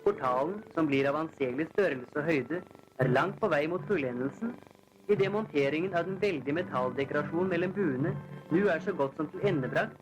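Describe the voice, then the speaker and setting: A man's voice: fairly high pitch, muffled recording, background noise. A man narrates a 1940s newsreel in a formal, declamatory announcer style, on a vintage film soundtrack. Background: newsreel background music.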